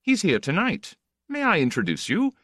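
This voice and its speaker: Man plays flippant aristocrat for audiobook: ironic, urbane, self-assured, raised pitch with big swoops. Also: huge pitch swings, normal speed, bright, forceful tone, dry recording, clean studio sound, heavily acted. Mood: teasing, assured. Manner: flamboyant, urbane, teasing. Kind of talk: audiobook character dialogue.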